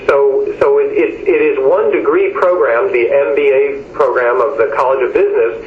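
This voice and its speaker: A man's voice: medium pitch, moderate pace. Man explaining on an echoey conference line. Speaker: university representative. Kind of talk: work meeting discussion on a conference call. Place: telephone conference call. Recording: dull, echoey conference phone line, faint noise.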